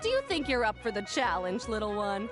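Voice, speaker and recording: An actress playing an upbeat female tournament announcer in a video game, interviewing the finalists in a bright, showy way. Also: medium pitch, very animated, a little fast, natural voice. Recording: game audio with music underneath.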